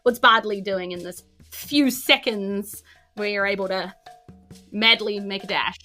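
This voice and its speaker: A woman with a New Zealand accent narrating a tabletop game as game master, in an informal, halting, descriptive way. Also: mid-range voice, sharp tone, faint music behind.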